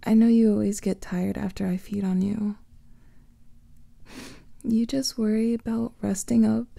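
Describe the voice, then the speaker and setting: A woman voicing an affectionate female vampire lover in a whispered ASMR roleplay, speaking softly and intimately close to the mic. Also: mid-range voice, flat delivery, a little slow, vocal fry, no echo. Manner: soft intimate whispering, doting.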